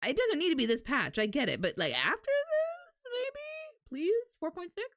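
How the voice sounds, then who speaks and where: A woman livestreamer with a lively, swinging voice talks casually to her viewers. The sound is dry and close, and her way of talking is loose and informal. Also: very high-pitched, moderate pace, dry recording. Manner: informal, mild swearing. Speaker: livestreamer reacting to a game announcement. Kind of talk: casual live reaction chat with viewers.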